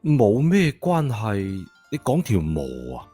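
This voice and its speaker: Lady putting on a really low slow young guy voice, cool n emotionless, kinda dark tone, audiobook. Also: very animated, soft background music, exaggerated voice. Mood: calm defiance. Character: cool young male office jobseeker, handsome, unflappable. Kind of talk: audiobook character dialogue in Cantonese.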